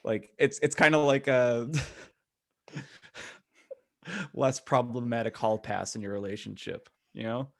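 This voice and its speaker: A man co-hosting a podcast in casual chat, rambling and halting as he talks. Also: mid-range voice, fairly even intonation, gravelly. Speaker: podcast co-host.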